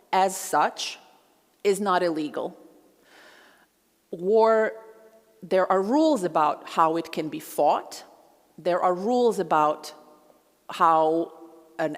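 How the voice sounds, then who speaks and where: Gravelly-voiced woman lecturing in a hall. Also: lowish voice. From Croatia. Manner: measured, with frequent ums and uhs. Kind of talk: public lecture. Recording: long hall reverb.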